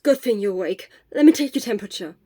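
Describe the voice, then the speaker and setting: A woman voicing a loud, excitable teenage schoolboy in an audiobook, with an exclamatory, whiny, theatrical manner. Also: mid-range voice, fast talker, no echo, natural voice. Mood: urgent. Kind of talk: acted audiobook dialogue.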